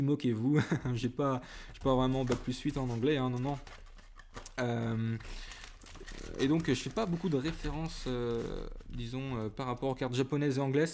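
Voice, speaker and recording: Chatty, hesitant man at mid pitch. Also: steady tone, moderate pace, darkish tone, slight background noise. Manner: hesitant, many fillers and restarts, chatty; self-deprecating, apologetic asides. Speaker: YouTuber opening trading card packs. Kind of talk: casual chat to camera.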